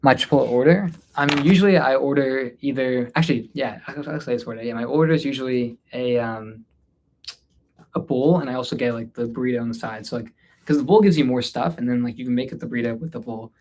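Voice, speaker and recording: A man's voice: mid-range voice, fast talker, darkish tone. A man from North India chatting casually as a livestream host, tired and informal, hesitating and trailing off as he answers questions. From North India, Delhi area. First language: Hindi, mixed with English. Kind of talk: casual unscripted Q&A livestream chat.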